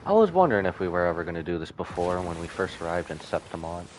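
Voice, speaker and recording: A man's voice: deep voice, very animated, quick pace, a little hiss or hum, poor audio. A man streaming a video game, giving casual live commentary and thinking aloud to himself.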